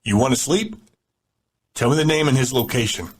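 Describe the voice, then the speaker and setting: A man's voice: mid-range voice, moderate pace, slight background noise, lightly acted. A man playing a sadistic gang boss and interrogator in an audio drama, mocking, menacing and controlled. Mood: taunting, angry.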